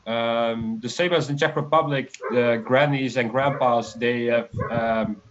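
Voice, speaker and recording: Man in his twenties, from the Netherlands, guest, mid-pitched voice, slightly dull lo-fi audio, relaxed, hesitant. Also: measured pace, background noise. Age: 20s. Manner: relaxed, hesitant, many fillers. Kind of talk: casual interview chat.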